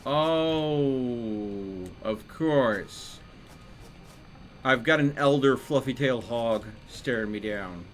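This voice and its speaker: A man's voice: mid-range voice, expressive, drawn-out words, a little hiss or hum. A man giving casual live gameplay commentary, thinking aloud with playful exclamations. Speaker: video game streamer. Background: video game music.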